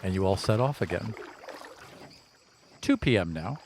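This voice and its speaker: A man's voice: low voice, lively intonation, measured pace, darkish tone. A man narrating a tabletop game as game master, moving between scene description and casual table talk. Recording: faint background noise.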